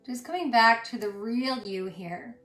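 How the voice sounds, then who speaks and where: A woman with a somewhat high voice guides a yoga and meditation class. She soothes as she goes, speaking in short phrases with pauses between them. The room adds a clear echo, and music plays underneath. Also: a bit bright. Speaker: yoga instructor.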